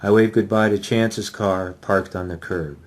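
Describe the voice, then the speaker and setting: Casting: male author-reader for first-person literary fiction, slightly low register. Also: steady tone, a little slow. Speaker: author reading aloud. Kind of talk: dramatic reading of a first-person novel.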